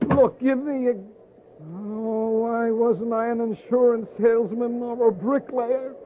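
Noisy old radio recording, nervous American fight manager, very high voice, whining, fretful. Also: male voice, steady tone, a little slow. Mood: despairing. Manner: fretful, complaining. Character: anxious, whining, ulcer-ridden boxing manager. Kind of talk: 1940s-50s American radio drama acting. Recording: noisy, narrow-band vintage radio recording.

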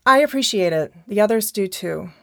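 An actress playing a principled, outspoken survivor in an audio drama. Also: female voice, medium pitch, animated, normal speed, creaky, no echo, clean studio sound. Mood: relieved, friendly.

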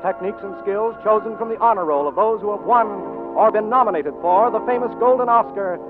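High-pitched vintage radio announcer man. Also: a little fast. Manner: grand, formal, carefully enunciated. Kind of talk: formal 1940s radio announcing. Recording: narrow vintage radio recording with music.

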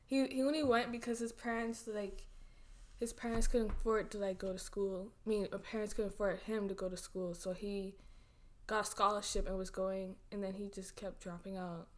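A young woman in her twenties chatting casually as a podcast guest, rambling with lots of fillers and quick giggles. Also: mid-range voice, level tone. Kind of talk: casual chat.

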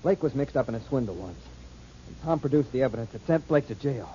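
A man playing a fast-talking enraged brother. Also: mid-range voice, expressive, a little hiss or hum, natural voice. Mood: bitter. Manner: angry, forceful. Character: hot-tempered grieving brother, adult man. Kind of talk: 1940s radio acting. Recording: muffled old radio broadcast.

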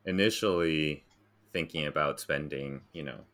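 Very deep-voiced American man, bright tone. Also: a little slow. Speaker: interview guest, graphic designer. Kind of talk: casual conversational storytelling.

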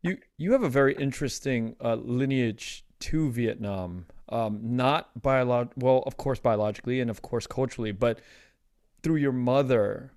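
A man's voice: medium pitch, moderate pace, a little mellow, smooth, no echo, studio quality. A man hosting a podcast, asking a guest loose, conversational questions. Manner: casual, rambling questions. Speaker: podcast host, interviewer.

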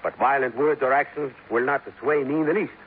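An actor playing a calm, stubborn police captain with a Spanish accent, speaking formally and deliberately in a 1950s radio drama. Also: male voice, mid-range voice, narrow, tinny audio, close to an everyday voice. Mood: firm, controlled. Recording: noisy, thin 1950s radio recording.

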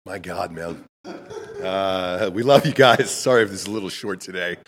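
A man hosting a casual sports talk show, hoarse from being sick, laid-back and swearing freely. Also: low voice, moderate pace. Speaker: podcast host. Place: studio in Austin.

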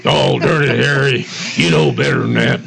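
A man's voice: medium pitch, fairly even intonation, moderate pace, vocal fry, fairly bright tone. An older man co-hosting a radio show with casual, folksy, jokey banter. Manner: folksy, jokey, colorful old-time phrasing. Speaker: radio co-host. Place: radio studio.

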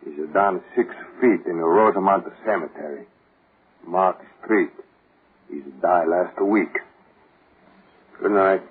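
An actor playing a blunt local man in 1940s radio horror, with a rough, husky voice and deliberate delivery. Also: deep voice, exaggerated voice. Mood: grim, blunt. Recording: muffled 1940s radio recording.

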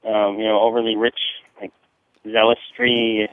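A man's voice: fairly low pitch, level tone, unhurried, no room sound. Male caller from New Jersey, slangy and foul-mouthed, meandering delivery, tinny close telephone sound. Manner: profane, slangy, hesitant and rambling. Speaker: listener leaving a call-in message. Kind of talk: casual chat, rambling message. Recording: muffled, narrow phone-like line, dry.

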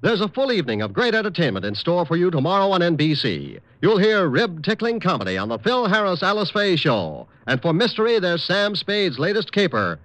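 High-pitched, lively vintage radio announcer, male. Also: brisk, few pauses, no room sound, poor audio. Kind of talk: 1940s-50s radio announcing, scripted. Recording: old radio broadcast with loud music.

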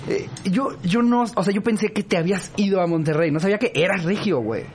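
High-voiced joking Mexican man. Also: expressive, fast talker, slight background noise. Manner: joking, slangy, foul-mouthed. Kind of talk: casual comedic podcast chat.

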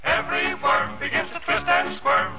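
A man's voice: very high voice, fairly even intonation, normal speed, vocal fry. A man singing a novelty song in a vocal group, in 1940s radio style. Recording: muffled old radio recording with music.

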